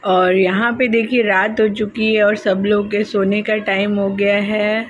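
A woman's voice: flat delivery, unhurried, muffled recording, a faint crowd. A woman with an Indian accent doing casual family travel vlog narration and chat, pointing things out to viewers. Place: inside a moving train. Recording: noisy, crowded train carriage with vehicle rumble.

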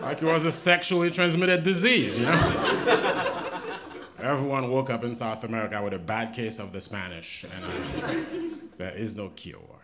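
A young man from Haiti, doing a stand-up comedy set on a handheld mic, dry and conversational with loud punchlines. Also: medium pitch, moderate pace, heavy background noise. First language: Haitian Creole. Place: small indoor comedy club with live audience. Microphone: handheld stage mic. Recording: live club recording with loud audience laughter. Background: audience laughing.

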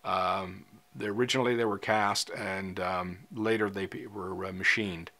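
Chatty older man, low voice. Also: fairly even intonation, normal speed, sharp tone. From Canada. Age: 60s. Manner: chatty, frequent ums and uhs, gestures with hands. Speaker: fly fishing vlogger. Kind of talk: casual talk to camera. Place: indoor studio, seated in front of a screen.

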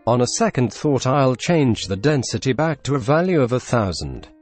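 A young man with a Russian accent narrating a software tutorial in a deadpan, dryly absurd scripted voice-over. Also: medium pitch, normal speed, faint music behind. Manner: deadpan, dry absurd humor.

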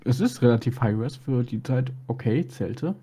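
A man with a dark, relaxed and somewhat husky voice. He comments casually on a game as a co-streamer. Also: mid-range voice, normal speed. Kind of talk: casual let's-play chat.

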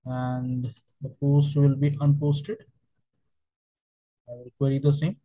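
Mellow-voiced man giving a halting software tutorial. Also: medium pitch, flat delivery, low-quality recording. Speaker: software trainer. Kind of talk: step-by-step software tutorial narration.

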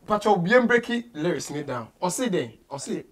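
Casting: Ghanaian man, high voice, emphatic entertainment show host, exclamatory delivery. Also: big pitch swings, moderate pace. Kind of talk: lively entertainment interview, mixing English and Twi. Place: small indoor studio, seated at a desk.

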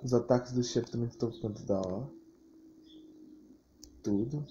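Brazilian guy rambling casually about games, lots of slang, dull lo-fi sound w/ clear background noise. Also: mid-range voice, normal speed, mellow. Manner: slangy, rambling, repeats himself. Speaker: gaming YouTuber. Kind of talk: casual off-the-cuff commentary on fan game images and videos. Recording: muffled, noisy phone recording with clicks.

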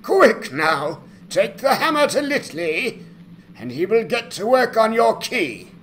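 Man playing a slow, proud old dwarf lord. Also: high-pitched, sing-song, fairly bright tone, slight background noise, pitched above the performer's own voice, heavily acted. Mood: amazed, grateful. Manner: slow, weighty, dramatic. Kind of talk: fantasy game dramatization.

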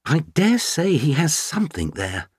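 A man voicing a high-spirited, enthusiastic young British man who speaks in lively exclamations. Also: measured pace, slightly rough, no echo, clean studio sound, exaggerated voice. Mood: eager.

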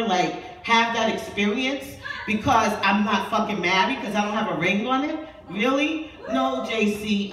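A woman in her fifties doing a raunchy stand-up set in a small club, brash and profane, talking casually with the crowd. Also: mid-range voice. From New York. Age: 50s. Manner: brash, profane, conversational, with frequent asides to the crowd. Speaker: stand-up comedian. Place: small dim club with a low stage. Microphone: handheld mic, filmed by a far camera in the crowd. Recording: roomy, distant club audio with loud crowd noise.

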